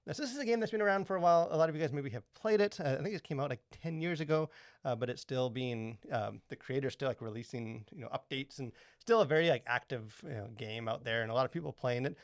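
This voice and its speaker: Male podcast co-host, quick pace, gravelly fry, rambling casual chat. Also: mid-range voice, no room sound, studio quality. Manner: rambling, halting, filler-heavy explanations.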